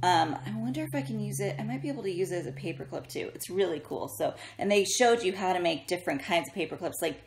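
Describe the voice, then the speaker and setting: A woman in her thirties chatting casually and excitably, with a self-deprecating, giggly manner, as a craft vlogger. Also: on the low side, fast talker, creaky, few pauses. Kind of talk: casual chatty haul vlog. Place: indoors in a bedroom, sitting up in bed. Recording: roomy echo, faint noise, handling clicks.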